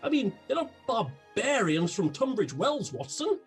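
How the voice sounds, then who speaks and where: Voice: medium pitch, big pitch swings, unhurried, soft background music. A performer playing an eccentric, gluttonous, unhinged detective in a comic radio play, grandiloquent, with a British accent. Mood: pompous, rambling. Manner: grandiloquent, theatrical.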